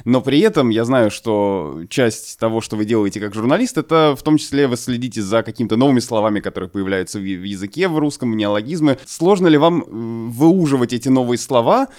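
A man's voice: medium pitch, quick pace, hardly any pauses, darkish tone. Hesitant male interviewer. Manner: hesitant, searching for words. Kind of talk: interview questions.

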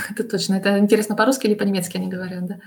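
Woman guest, quick explanatory podcast talk, mid pitch. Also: flat delivery. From Russian-speaking, living in Germany. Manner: explanatory, precise, with occasional fillers. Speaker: podcast guest, translator and Russian teacher. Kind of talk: casual interview talk.

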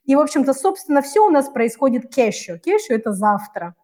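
Fast-talking, high-voiced woman. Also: very animated, warm tone. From Murmansk region, Russian far north. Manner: talkative, fluent, illustrates with little quoted examples. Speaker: interview guest, Russian language teacher living in Tanzania. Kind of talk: conversational interview answers, explaining and storytelling.

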